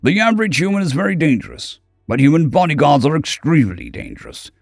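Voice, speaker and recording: A man's voice: fairly high pitch, wildly swooping pitch, fast, vocal fry, no room sound, voice pushed up, exaggerated voice. A man voicing a blunt, wary male alien fixer who lectures in a stern tone, in a dry, close recording with faint music behind. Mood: urgent.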